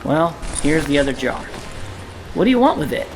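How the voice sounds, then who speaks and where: A man's voice: fairly high pitch, big pitch swings, normal speed, background noise. A male actor playing an eager, earnest, wide-eyed orphan boy in an audio drama, with background ambience. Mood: curious.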